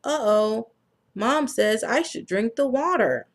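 An earnest little boy explains, in a slow and simple picture-book voice, what he needs and wants, as a woman reads him aloud. The delivery is unhurried and a bit gravelly at the edges. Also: on the high side, expressive, heavily acted.

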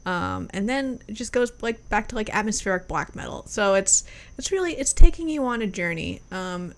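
A woman's voice: medium pitch, expressive, normal speed. A woman co-hosting a podcast, chatting casually about an album with lots of fillers and some swearing, on a webcam call from a room at home. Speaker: podcast co-host. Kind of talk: casual music review chat.

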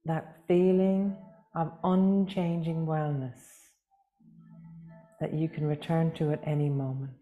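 Woman, low-pitched soft-toned voice, guided meditation, gentle and soothing, long pauses, music underneath. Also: little pitch movement, phone-quality sound. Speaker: yoga nidra teacher.

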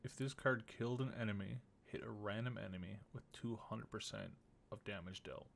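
A man's voice: lowish voice, moderate pace, gravelly, a bit bright, a little hiss or hum. A man streaming a game, giving casual commentary and musing aloud as he thinks through his choices.

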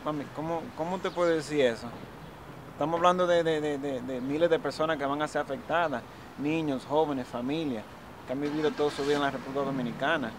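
A rapid, fairly high-voiced young son, played by a man, pushes back hard at his mother and stumbles over repeated words. He is outdoors on front steps with clear street traffic behind him. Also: fairly even intonation, lightly acted. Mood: worried. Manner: urgent, insistent, repeats words when upset.

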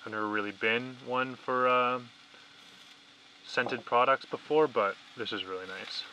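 Young dude chatting in a van, bright voice, bit of noise behind him. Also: male voice, medium pitch, measured pace, low-quality recording. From Canada. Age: 20s to early 30s. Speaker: vlogger. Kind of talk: casual chat. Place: inside a small camper van, parked.

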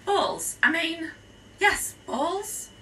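A woman puts on a pompous schoolmaster from a children's storybook. He bellows and splutters in wild high swoops. He is easily enraged and keeps repeating himself as he comes apart. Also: big pitch swings, drawn-out words, pushed and bright, distant mic, slight background noise, exaggerated voice. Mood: flustered, apologetic.

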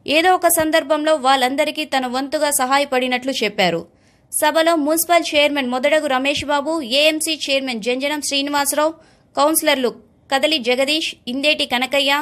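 A woman's voice: high voice, a bit bright. A woman reading a local news report in a formal voice-over. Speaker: news reader.

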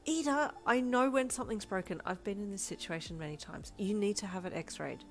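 A woman voicing a blunt, hungry young character who talks in slang and flat deadpan, quick to snap, in a storybook reading. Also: medium pitch, faint music behind, pitched above the performer's own voice, lightly acted. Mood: distraught. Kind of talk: audiobook character voice.

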